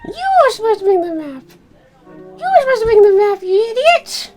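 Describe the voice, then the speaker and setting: Lady doing super high bossy anime girl, hot tempered, swoopy and shrill, music behind. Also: sing-song, moderate pace, a little mellow. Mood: indignant. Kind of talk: improvised cartoon fandub.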